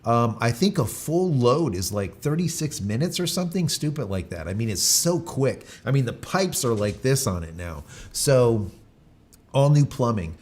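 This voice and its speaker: A man's voice: medium pitch, sing-song, normal speed, a little mellow. A man hosting a live stream, chatting casually, rambling, with many fillers and restarts. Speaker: livestream host.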